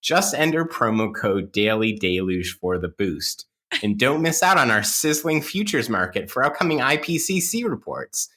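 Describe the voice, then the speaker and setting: A man, a podcast host, reading an upbeat scripted mock advertisement with an exaggerated salesman's pitch. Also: sing-song, normal speed, bright, forceful tone.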